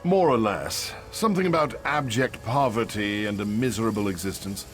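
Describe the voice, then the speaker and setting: Man playing a deep-voiced, weary old man. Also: moderate pace, fairly bright tone, faint music behind, close to an everyday voice. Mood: weary, wistful. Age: older. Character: weary, kindly old man, wistful storyteller. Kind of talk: AI-voiced fantasy game dialogue.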